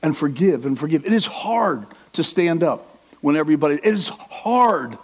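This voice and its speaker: A man's voice: on the high side, big pitch swings, moderate pace. A middle-aged man preaching a sermon with passion, acting out the inner voice of a struggling believer. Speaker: pastor.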